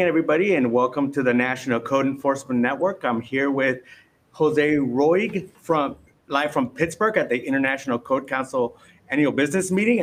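A man's voice: medium pitch, slight background noise. Man hosting a live interview. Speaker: host. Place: convention center.

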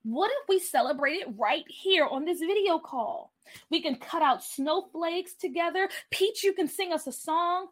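A woman's voice: sits high, expressive, normal speed, a bit bright. A woman voicing an eager, take-charge schoolgirl in a story, upbeat and coaxing.

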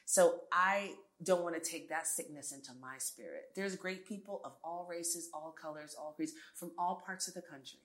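Low-voiced woman in her forties. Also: moderate pace, few pauses, fairly bright tone. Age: 40s. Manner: earnest and emphatic, with frequent restarts and asides. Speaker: guest, tech entrepreneur. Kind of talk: conversational interview.

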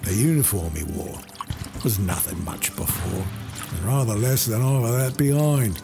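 A man voicing a rough old British soldier, reciting a war poem in heavy with bellowed calls. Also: lowish voice, moderate pace. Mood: gruff recollection. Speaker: audiobook narrator. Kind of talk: dramatic poetry reading. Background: music.

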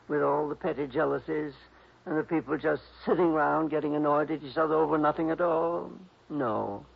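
Fluttery, high-voiced, husky old composer. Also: male voice, normal speed, heavily acted. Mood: wistful, rambling. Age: older. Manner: rambling, fluttery, hesitant, chuckling. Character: sweet, dreamy, eccentric older male amateur composer. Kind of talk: 1960s radio drama acting. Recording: dull, lo-fi old radio recording.

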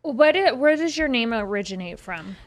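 Bubbly cohost girl, highish voice swinging around, teasing the guest. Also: lively intonation, moderate pace. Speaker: podcast co-host. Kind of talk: casual chat.

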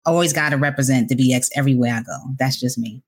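A woman's voice: extremely low pitch, fast talker, husky. A woman from the Bronx, co-hosting a podcast in a proud, playful way with frequent laughs. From the Bronx, New York. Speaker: podcast co-host. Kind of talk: casual roundtable chat. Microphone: video call.

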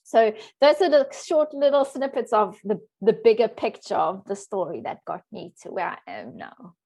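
A woman's voice: medium pitch, animated, moderate pace. A woman from Africa, a coach talking as a podcast guest in a chatty, laughing way. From South Africa. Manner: warm, chatty, laughs often. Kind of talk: casual interview talk.

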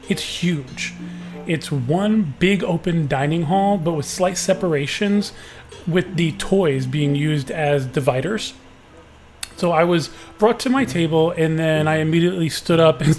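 A man with a booming, somewhat high voice hosts a podcast, recapping his day in a relaxed, chatty way. Faint music plays underneath. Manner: chatty, easygoing storytelling. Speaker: podcast host.

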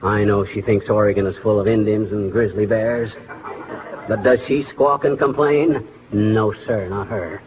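An actor playing a blustery, glib middle-aged husband in a 1940s radio comedy, folksy and self-important. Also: male voice, fairly low pitch, fairly even intonation, moderate pace, crowd noise, natural voice. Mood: sentimental, folksy. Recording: tinny, noisy 1940s radio broadcast.

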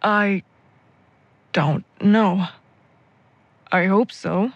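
A woman's voice: mid-range voice, drawn-out words, dry recording, dull audio. An actress playing an uneasy, polite young woman traveling with friends, hesitant, in a naturalistic audio drama. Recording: clear vehicle and ambient noise behind.

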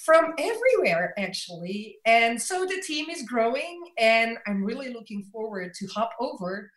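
Belgian author in an echoey room. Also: female voice, fairly high pitch, sing-song, moderate pace, fairly bright tone. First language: Dutch. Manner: reflective, laughs often. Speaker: author and coach. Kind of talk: conversational interview.

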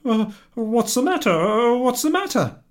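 A man's voice: extremely high pitch, sing-song, measured pace, pitched above the performer's own voice, heavily acted. A man voicing an eager, flustered clerk husband who stammers and pleads to please his wife.

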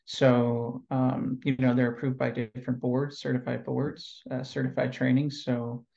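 A man from California being interviewed about his work as an NLP trainer, answering in a relaxed, reflective way with lots of fillers and pauses. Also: medium pitch, vocal fry, dull audio.

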